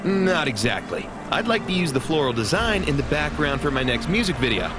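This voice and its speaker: A man's voice: fairly high pitch, big pitch swings, brisk. A man playing a brash, self-important music mogul in a video game, pompous and pushy. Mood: boastful. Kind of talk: video game dialogue acting.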